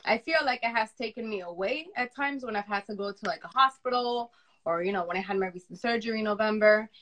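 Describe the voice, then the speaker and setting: Bright, forceful woman talking candidly. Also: mid-range voice, fast talker, slight background noise. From Dominican upbringing. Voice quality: loud voice. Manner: candid, emphatic, repeats phrases for emphasis. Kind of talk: casual personal conversation. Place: remote live video chat.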